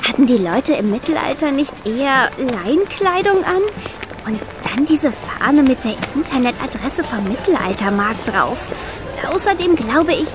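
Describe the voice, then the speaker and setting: A clever, sceptical schoolgirl in a children's audio drama. Her voice is high, and she explains and corrects in a matter-of-fact, teasing way. Music plays underneath. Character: bright know-it-all schoolgirl, sceptical and precise.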